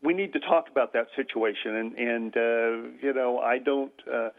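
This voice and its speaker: Older man on a tinny radio line. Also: mid-range voice. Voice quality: loud voice. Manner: halting, many fillers and restarts. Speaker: guest. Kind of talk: radio talk show interview. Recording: tinny phone-like radio audio.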